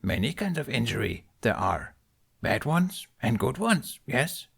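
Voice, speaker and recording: A man voicing an ancient, small green alien sage who hums and speaks in backwards word order, slow and soft. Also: mid-range voice, big pitch swings, gravelly, breathy edge, exaggerated voice. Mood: cryptic probing.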